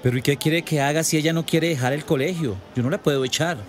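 An actor playing a pressured but reasonable school principal with a Colombian accent, firm and protesting, in a radio drama. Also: male voice, a little high, steady tone, fast, slight background noise, natural voice.

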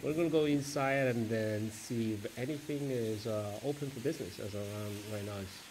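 A man narrating a casual travel vlog as he walks through streets, a mall and a subway station, halting with many fillers and self-corrections. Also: medium pitch, darkish tone, a little hiss or hum, rough recording. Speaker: travel vlogger.

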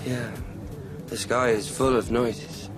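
A man's voice: mid-range voice, fairly even intonation, slow, noisy background, lightly acted. An actor playing an earnest, naive young man who muses plainly about philosophy in a radio drama. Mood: hushed, knowing. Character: earnest young male thought-experiment figure, naive amateur philosopher. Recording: music behind the voice.

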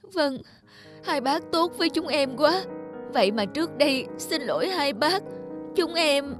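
A woman's voice: high-pitched, expressive, unhurried, over music. A woman playing a distraught, crying sister-in-law in a cartoon. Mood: tearful, remorseful. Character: distraught sister-in-law begging family for help after ruin.

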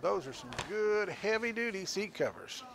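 A man's voice: high voice, animated, normal speed, creaky, background noise. An older man hosting an auction show, casually describing items for sale with folksy jokes. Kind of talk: casual on-air sales pitch. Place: small studio room with sale items.

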